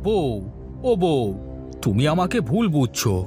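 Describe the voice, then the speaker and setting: Animated, henpecked village husband acted by a man. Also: on the high side, unhurried, music in the background. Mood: placating. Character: flustered, placating village husband, coaxing and henpecked. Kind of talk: Bengali comic folk-tale cartoon acting.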